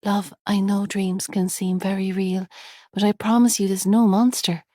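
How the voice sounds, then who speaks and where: A woman voicing a patient young mother who speaks gently and reassuringly. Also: medium pitch, level tone, moderate pace, no echo, clean studio sound, natural voice. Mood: soothing.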